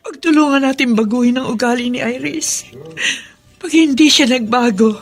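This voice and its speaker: An actress playing an earnest, concerned mother in a Filipino radio drama, with a husky voice. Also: high-pitched, normal speed, faint music behind. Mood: worried, pleading. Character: concerned, earnest mother pleading for help with her daughter.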